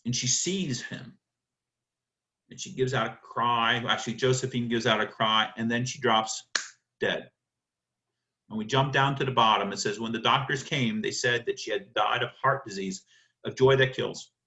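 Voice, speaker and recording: Male literature lecturer. Sharp, bright delivery, emphatic and theatrical, asks and answers his own questions. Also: mid-range voice, fairly even intonation, normal speed. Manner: emphatic, dramatic, rhetorical questions answered by himself.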